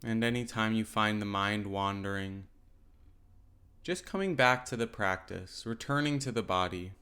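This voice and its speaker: A man leading a guided mindfulness meditation as a teacher, giving gentle, unhurried instructions with pauses. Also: fairly low pitch, clean tone.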